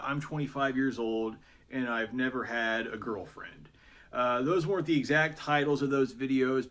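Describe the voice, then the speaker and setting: A man in his thirties talking casually about himself in a self-deprecating, hesitant way, with many pauses. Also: medium pitch, a bit bright. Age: 30s. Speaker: YouTuber. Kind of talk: casual vlog monologue.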